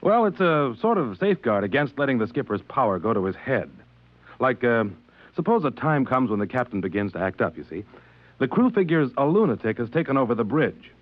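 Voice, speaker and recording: An actor playing an uneasy, earnest spaceship third officer in an old radio drama, explaining things hesitantly. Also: male voice, mid-range voice, very animated, brisk, no room sound, natural voice. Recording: dry, muffled old radio recording.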